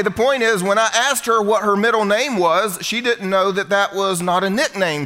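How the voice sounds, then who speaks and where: Man, middle-aged, pastor, bright voice, slightly high, humorous conversational sermon. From United States. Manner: conversational, humorous preaching to a congregation. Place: church hall before a congregation.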